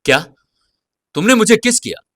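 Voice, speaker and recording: A man's voice: sits high, very animated, normal speed, a bit bright, no room sound, clean studio sound, voice pushed up. A man playing a vain, easily flustered young man in an audio drama, speaking in dramatic exclamations. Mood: curious, startled.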